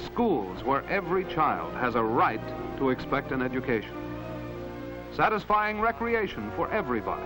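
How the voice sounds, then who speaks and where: A man's voice: medium pitch, poor audio. An American man delivering earnest, rhetorical narration in the proclaiming style of a 1950s educational film. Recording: vintage 1950s film soundtrack with loud music. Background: background music.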